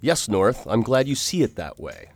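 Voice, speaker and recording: A man playing a formal, courteous Victorian gentleman who narrates his own adventures in a radio drama. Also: mid-range voice, very animated. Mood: composed. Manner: formal, courteous, measured old-fashioned English. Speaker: narrator and lead character.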